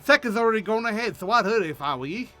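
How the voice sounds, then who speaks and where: A scheming fantasy game guildmaster, played by a man. He blusters in an emphatic, folksy way, with a high voice that swings up and down a lot. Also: lively intonation, very fast, bright, forceful tone, faint music behind. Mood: scheming, eager.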